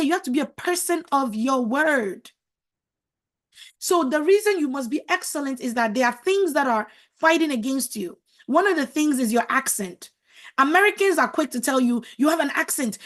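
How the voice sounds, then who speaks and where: Emphatic, preachy woman coach. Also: high voice. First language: French. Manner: emphatic, preachy, repeats words for stress. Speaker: marriage coach. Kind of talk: motivational talk to camera.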